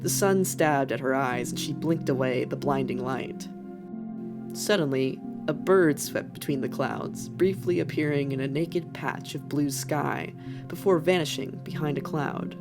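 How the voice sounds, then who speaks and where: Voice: mid-range voice, unhurried. A narrator reading an animal adventure story as scripted narration. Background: faint background music.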